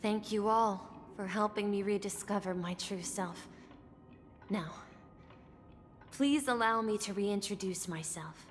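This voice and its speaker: A gentle young boy from a video game, played by a woman, speaks slowly and wistfully. The delivery is earnest and reflective, set in long reverb with music clearly underneath. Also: mid-range voice, close to an everyday voice. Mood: solemn, gentle. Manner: measured, reflective, earnest storytelling.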